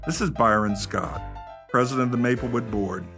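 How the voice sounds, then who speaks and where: A man's voice: mid-range voice, fairly quick. A man delivering a scripted radio promo as a theater board president. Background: music.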